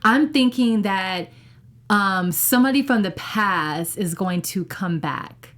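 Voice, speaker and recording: A woman's voice: mid-range voice, lively intonation, takes it slowly, a bit bright. Female panelist, mid-range voice, loose rambling talk that circles back on itself. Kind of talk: casual TV recap chat.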